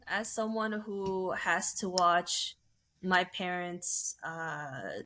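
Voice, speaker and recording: A woman who teaches English, co-hosting a casual podcast chat and speaking slowly and thoughtfully with many pauses. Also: on the low side, a bit bright. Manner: slow, thoughtful, with frequent pauses and fillers.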